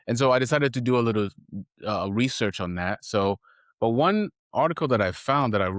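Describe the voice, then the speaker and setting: A man hosting a solo podcast, speaking in an earnest, halting and reflective way. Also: mid-range voice, expressive, no echo, clean studio sound. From Haiti. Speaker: podcast host.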